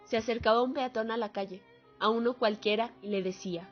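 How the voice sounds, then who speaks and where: A woman's voice: fairly high pitch, steady tone, fairly quick, close to an everyday voice. An actress playing a solemn female war veteran giving testimony in a radio drama. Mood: grim testimony. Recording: muffled lo-fi audio under music.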